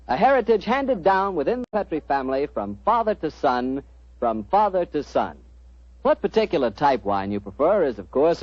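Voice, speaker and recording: A man's voice: on the high side, darkish tone, no echo. An American man announcing and hosting in 1940s radio style, with a polished, salesmanlike delivery. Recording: muffled 1940s radio broadcast recording.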